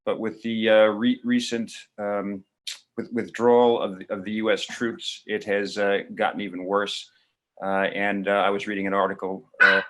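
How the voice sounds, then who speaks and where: A man speaks in a fairly low voice. He talks hesitantly, full of fillers and restarts, as a guest in a discussion. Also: fairly bright tone. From Queens, New York.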